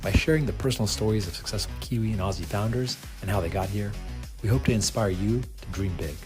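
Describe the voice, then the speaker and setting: A man's voice: on the low side, fast. A man hosting a podcast, reading a scripted introduction over guitar music. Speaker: podcast host.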